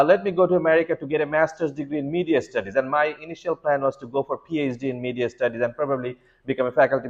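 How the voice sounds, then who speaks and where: A middle-aged man speaks in a somewhat high voice, explaining immigration steps with earnest repetition and frequent restarts. The recording is dull and lo-fi. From Bangladesh. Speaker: immigration lawyer. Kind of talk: advice talk to students.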